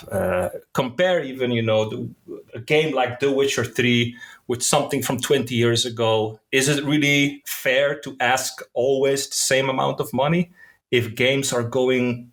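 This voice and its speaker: Podcast guest, male, middle-pitched voice, reflective and opinionated. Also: fairly bright tone. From Netherlands. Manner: thoughtful and opinionated, restarts words, says "man". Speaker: podcast guest, concept designer. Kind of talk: casual podcast conversation.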